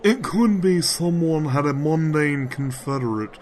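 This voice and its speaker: Man playing a slow, formal, calculating magician. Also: fairly high pitch, animated, a little mellow. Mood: smooth, formal. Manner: formal, measured, deliberate phrasing. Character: formal, calculating male magician offering a deal. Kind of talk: comedic fantasy audio drama.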